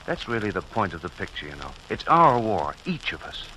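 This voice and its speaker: A man's voice: low-pitched, very animated, a little fast, pushed and bright, full character voice. An actor playing a fond, earnest middle-aged family father in a 1940s radio drama. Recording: hissy, noisy 1940s radio recording.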